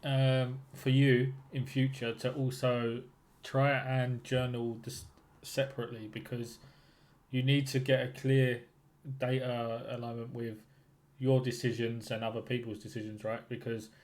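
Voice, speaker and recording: A man's voice: mid-range voice, little pitch movement, measured pace, a little mellow. A man working as a trading mentor, asking probing questions and giving affirming feedback in a casual one-to-one coaching call.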